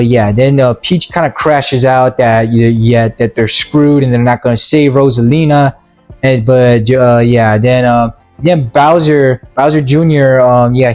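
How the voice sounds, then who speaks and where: A man giving a casual, rambling film review in slangy, informal language, halting and repeating words, with sarcastic asides. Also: medium pitch, darkish tone. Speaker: movie reviewer.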